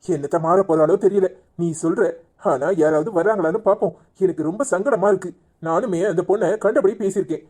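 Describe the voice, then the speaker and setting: A man's voice: high voice, fairly quick, a little mellow, husky. A man playing a troubled, apologetic family man, worried and deferential, in a cartoon village drama.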